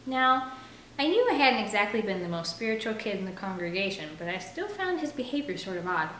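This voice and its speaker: A woman's voice: mid-range voice, big pitch swings, fairly quick, fairly bright tone. A woman narrating a first-person novel aloud as an audiobook reader. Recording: roomy echo with faint background noise.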